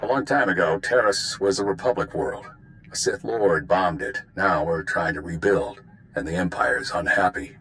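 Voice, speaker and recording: An actor playing a stern, world-weary spymaster who briefs agents in a clipped, dry, authoritative way in a video game. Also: male voice, low voice, moderate pace, fairly bright tone, low-quality recording. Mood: stern, wry. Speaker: intelligence commander giving a mission briefing.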